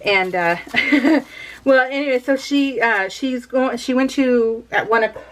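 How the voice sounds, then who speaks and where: A woman's voice: fairly high pitch, a little slow, fairly bright tone, low-quality recording. A woman in her thirties chatting casually while doing a scrapbooking project, rambling and laughing often. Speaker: scrapbooking vlogger. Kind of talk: casual voiced-over craft chat.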